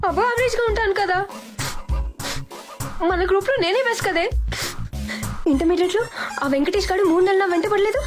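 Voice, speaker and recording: An actress playing a feisty, vain, indignant young woman in a comedy film, sharp-tongued and dramatic, dropping in English phrases. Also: very high voice. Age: young adult. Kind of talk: Telugu film comedy acting.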